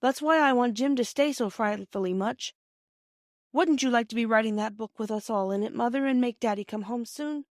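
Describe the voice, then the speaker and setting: A woman's voice: fairly high pitch, moderate pace, darkish tone, dry recording, clean studio sound, voice pushed up, close to an everyday voice. A woman voicing an eager, earnest British schoolboy with old-fashioned slang, pleading with his mother in a storybook. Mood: wistful, earnest. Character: eager, earnest English schoolboy, her son.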